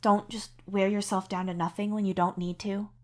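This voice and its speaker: A woman voicing a caring, patient young female friend who speaks with gentle concern. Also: mid-range voice, flat delivery, moderate pace, close to an everyday voice.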